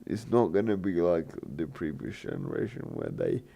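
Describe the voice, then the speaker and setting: A man hosting a gaming channel, talking in a rambling, hesitant way with lots of fillers and restarts, his voice croaky. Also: low-pitched, huge pitch swings, moderate pace, warm tone. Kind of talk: rambling let's play commentary.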